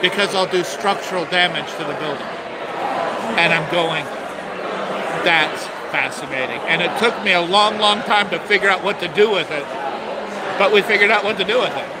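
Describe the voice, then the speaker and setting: A man's voice: high-pitched, normal speed. A middle-aged man, a master distiller, telling stories expansively and with gestures at a tasting in a busy bar, filmed on a handheld phone. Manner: expansive, animated storyteller with gestures. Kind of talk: casual storytelling at a tasting. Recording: noisy crowded bar. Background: loud crowd chatter.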